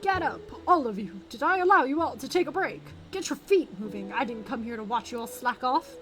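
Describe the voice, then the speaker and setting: A woman's voice: a little high, expressive, fairly quick, fairly bright tone. A woman voicing a bossy, scornful little chimera squad leader from a game, scolding and imperious. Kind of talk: reading game dialogue aloud. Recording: game music behind the voice.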